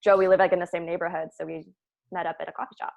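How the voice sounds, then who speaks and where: A woman's voice: on the low side, flat delivery, rapid-fire, vocal fry, a little mellow, lo-fi sound. A woman speaking casually and jokingly as a writer leading her cast's table read over a video call. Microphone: video call microphone.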